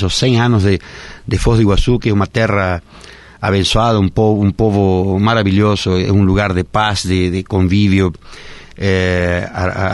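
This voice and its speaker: Man, low and dry. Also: a little mellow, no echo. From Argentina. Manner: earnest, speaks in long winding sentences. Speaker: radio interview guest, park director. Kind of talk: radio interview.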